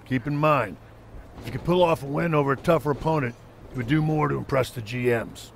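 A man's voice: mid-range voice, fairly quick, gravelly, slight background noise, natural voice. An actor playing a middle-aged male wrestling coach who speaks in an encouraging, businesslike way.

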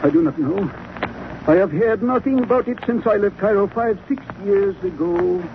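An actor playing an evasive, polite Egyptian importer in a 1940s radio drama, with clipped, formal phrasing. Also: male voice, high voice, normal speed, lightly acted. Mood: frightened, defensive. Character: evasive, nervous male Egyptian importer, obsequious shopkeeper. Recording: muffled, very noisy old radio recording.

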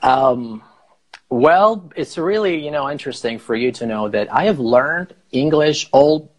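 A man in his thirties, a native Farsi speaker and English teacher, explaining slowly on a live video call through an earphone mic in a small room. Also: mid-range voice, animated, low-quality recording. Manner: explains slowly, rephrasing with many fillers. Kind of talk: casual live video chat. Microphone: earphone headset mic on a video call.

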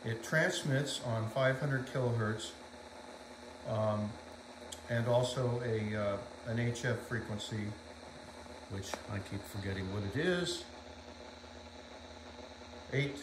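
Middle-aged man, halting, matter-of-fact narrator. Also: mid-range voice, background noise. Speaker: collector demonstrating vintage equipment. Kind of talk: informal demonstration narration. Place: indoors in a room at home.

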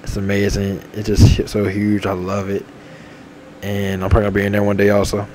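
A man in his twenties talking casually and repetitively over a game, enthusiastic and full of shout-outs. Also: fairly low pitch, completely flat delivery, a little fast, a little hiss or hum, low-quality recording. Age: 20s. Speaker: gaming channel host. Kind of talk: rambling casual monologue over game menu. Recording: faint game music behind. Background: faint game menu music.

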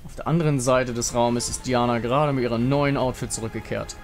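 A man's voice: medium pitch, steady tone, fairly quick. A man narrating a fantasy game story in a dramatic scripted style. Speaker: narrator reading visual novel dialogue. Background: music.

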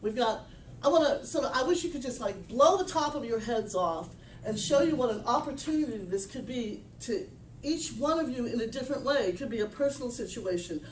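A middle-aged woman giving an informal, animated sales pitch at a business meetup, emphatic and full of restarts, caught by a camera across a small room. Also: a little fast, a little hiss or hum. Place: small meeting room, indoors. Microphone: far camera. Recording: echoey room from far off.

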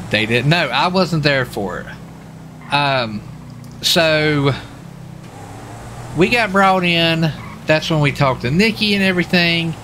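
A man's voice: fairly high pitch, sharp tone, a little hiss or hum. A young man chatting casually while livestreaming a game, rambling and repeating himself as he goes. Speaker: livestreamer and role-player. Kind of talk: casual chat while gaming.

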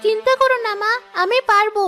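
Very high-pitched, earnest little cartoon girl. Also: steady tone, a little fast, bright, forceful tone, faint music behind. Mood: reassuring. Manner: pleading, earnest, self-sacrificing. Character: dutiful, long-suffering young daughter. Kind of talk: cartoon dub acting.

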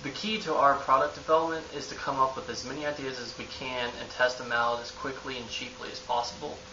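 A man's voice: medium pitch, level tone, a little fast. A young man from a lure company speaks casually to the camera in an office, in an easygoing manner. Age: young adult. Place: office with bookshelf, indoors. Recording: roomy, distant, dull, hissy.

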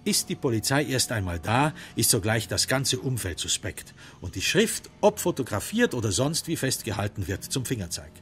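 A man reading dramatic literary narration for a radio crime drama, in measured, deliberate phrasing with emphatic stresses. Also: medium pitch, big pitch swings.

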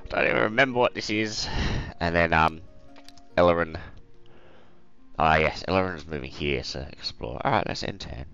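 Brief: male let's-play host, croaky today, deep but lively delivery, rambly and hesitant. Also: highs cut off. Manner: rambling, thinking aloud with many ums. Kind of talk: casual game commentary.

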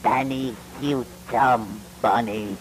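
Someone as a slow, exasperated cartoon boss. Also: medium pitch, fairly even intonation, slight background noise, lightly acted. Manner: slow, sighing, scolding. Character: grumpy bossy cartoon host animal, exasperated, slow.

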